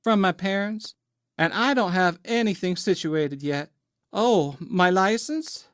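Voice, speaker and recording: Man voicing a high-pitched coy Southern belle. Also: slow pace, fairly bright tone, smooth, no room sound, clean studio sound. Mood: flustered, pleading. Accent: affected Southern US. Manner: coy, pleading. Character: flustered young woman feigning sweet innocent Southern-belle charm.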